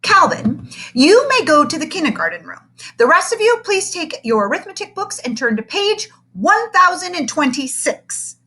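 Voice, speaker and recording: A woman's voice: extremely high pitch, very animated, moderate pace, sharp tone, pitched above the performer's own voice, heavily acted. Woman doing a schoolteacher giving instructions. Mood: instructive. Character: male schoolteacher addressing his class.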